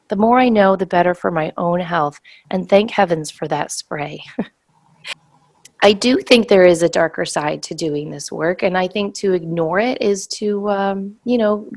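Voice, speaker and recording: A woman's voice: lowish voice, vocal fry. Scratchy-voiced woman hosting a talk show. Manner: reflective, gently explaining. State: hoarse from a cold. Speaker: podcast host. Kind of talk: casual spiritual talk.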